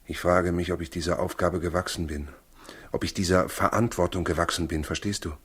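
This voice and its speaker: An actor in a 1960s German radio play, playing Joseph as a brooding, earnest, humble new father with a husky voice. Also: low voice, steady tone, a little fast, a bit bright. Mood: troubled, brooding.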